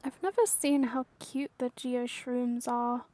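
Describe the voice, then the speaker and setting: High-voiced woman doing casual gameplay commentary. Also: flat delivery, slow pace, mellow, no echo. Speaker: game streamer.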